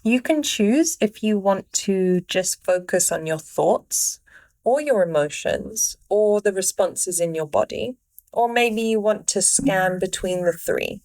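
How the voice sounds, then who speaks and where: Slow-paced woman guiding a meditation. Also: mid-range voice, lively intonation, darkish tone. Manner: unhurried, pausing between short phrases. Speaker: meditation guide. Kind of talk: guided meditation, gentle spoken instructions.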